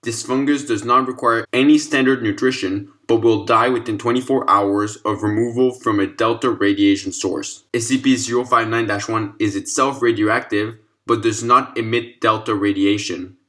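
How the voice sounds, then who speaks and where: A man's voice: mid-range voice, fairly even intonation, moderate pace, few pauses, fairly bright tone. A man narrating a scripted scientific document in a formal, clinical manner. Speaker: narrator reading a fictional case file.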